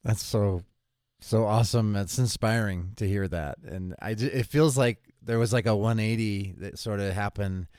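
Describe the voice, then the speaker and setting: Brief: male interviewer, upbeat, lower voice, dry studio sound. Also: normal speed, a little mellow, clean tone, no room sound. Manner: enthusiastic, effusive reactions. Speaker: interview host. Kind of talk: casual interview.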